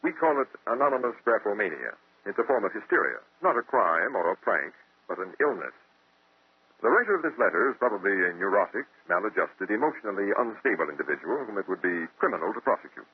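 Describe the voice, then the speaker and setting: An actor playing a pedantic, self-assured, somewhat evasive psychiatrist in an old radio drama. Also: male voice, on the low side, very animated, fast talker, slightly rough, exaggerated voice. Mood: clinical, self-assured. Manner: hesitant, then clinical and lecturing. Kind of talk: 1940s radio acting. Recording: old tinny radio recording.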